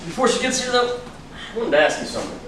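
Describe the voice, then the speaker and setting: Casual, probing detective played by a man, animated pitch, musing stage delivery; distant mic, noisy room. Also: fast talker, very echoey, exaggerated voice. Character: male detective, teasing, probing friend of another investigator. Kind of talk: stage acting at a script reading. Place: large room, staged reading recorded from afar.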